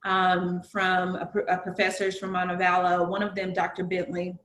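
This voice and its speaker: A woman's voice: lowish voice, completely flat delivery, normal speed, a bit bright, roomy sound, dull audio, a little hiss or hum. A woman with a Southern US accent in casual conversation, earnestly explaining her points with analogies and frequent fillers. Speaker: guest.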